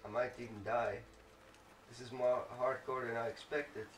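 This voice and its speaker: A man hosts a casual let's play, reacting to the game with muttering and swearing. His voice sits at a middling pitch, away from the mic in an echoey room. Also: level tone, normal speed. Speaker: gaming video host. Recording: roomy, distant mic, clear background noise.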